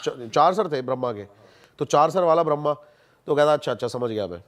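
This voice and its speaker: A man's voice: on the high side, animated, fairly quick. A man in casual podcast conversation, telling stories and explaining things emphatically, repeating words for stress. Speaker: podcast guest.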